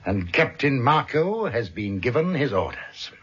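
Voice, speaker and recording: An actor playing a suave, cold criminal mastermind in a vintage radio drama, speaking in a measured, pompous, formal way. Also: male voice, mid-range voice, sing-song, fairly bright tone, husky, full character voice. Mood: cold, self-satisfied. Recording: dull, muffled vintage radio recording.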